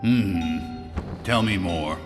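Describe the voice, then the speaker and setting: Brooding, imperious emperor male actor for audio drama; measured delivery in a reverberant hall. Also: sits low. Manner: grave, imperious, deliberate. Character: proud, commanding ancient Chinese emperor, brooding and ambitious. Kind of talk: amateur audio drama acting. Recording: long hall reverb, loud music behind.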